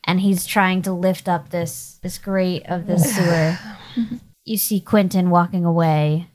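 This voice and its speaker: Slow-paced woman running a game. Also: on the low side, fairly even intonation, a little mellow. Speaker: game master. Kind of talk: narrating a tabletop game.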